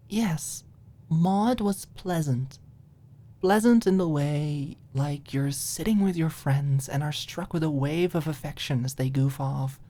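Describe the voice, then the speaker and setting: A woman narrating a story as a scripted audiobook, in a soft, expressive storytelling manner. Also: low voice.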